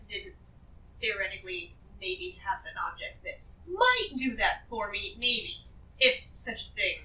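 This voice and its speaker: A woman's voice: medium pitch, pushed and bright, slight background noise. A woman playing a greedy, shrewd treasure-hoarder in a fantasy role-play, rambling and emphatic as she justifies herself. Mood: evasive. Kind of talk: improvised tabletop fantasy role-play acting. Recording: echoey room, distant from the mic.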